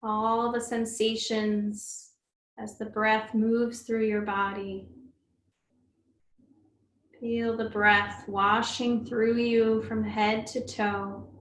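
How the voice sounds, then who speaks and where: A woman leading a guided meditation as a teacher, speaking gently and soothingly, unhurried, with long pauses. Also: a little high, little pitch movement. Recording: roomy echo, faint background noise.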